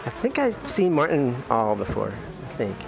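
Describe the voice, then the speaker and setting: A man giving low-key, casual commentary on his sim race, thinking aloud, his voice worn from losing it. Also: huge pitch swings, normal speed, gravelly, noisy background. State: losing his voice, clearing throat. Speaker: sim racing streamer. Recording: music and car engines behind. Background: racing game music and engine sound.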